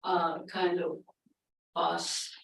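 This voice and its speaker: A woman's voice: lowish voice, level tone, drawn-out words, creaky, a bit bright, recorded from a distance. A woman whose first language is Russian, a poet and psychoanalyst, commenting from the audience at a lecture and talking hesitantly with many fillers. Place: lecture room. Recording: roomy hall, voice from off mic.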